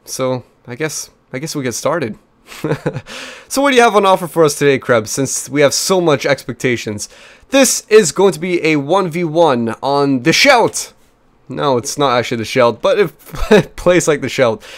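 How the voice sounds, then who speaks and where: A man in his twenties from New Zealand, chatty and energetic, casting a strategy game replay with frequent fillers and restarts at a desk microphone. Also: fairly high pitch. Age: 20s. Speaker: game caster. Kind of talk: replay commentary.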